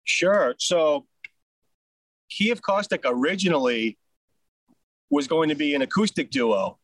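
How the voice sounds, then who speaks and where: Here is a man from Boston with that accent, easygoing and chatty as he tells how things came about. His voice sits a little high for a man. The sound is dry and close, with no room around it. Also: expressive, a little slow, fairly bright tone, dry recording. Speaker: band singer and rhythm guitarist. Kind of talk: casual storytelling in an interview.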